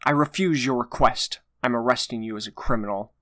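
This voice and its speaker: A man's voice: mid-range voice, fairly quick, a bit bright, no room sound, clean studio sound, natural voice. A man playing a proud, mournful and righteous young nobleman in a dramatic audio drama, speaking in an earnest, declamatory way. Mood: indignant. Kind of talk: dramatic audio drama acting, modernized Shakespeare.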